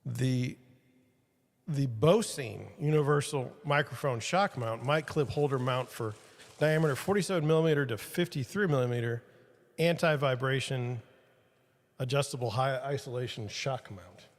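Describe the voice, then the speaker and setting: A man's voice: mid-range voice, moderate pace. Self-deprecating man in an echoey room. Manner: self-deprecating, rambling, talks to viewers. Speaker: podcast host. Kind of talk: casual self-talk while fixing gear on a livestream. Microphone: studio mic with changing levels.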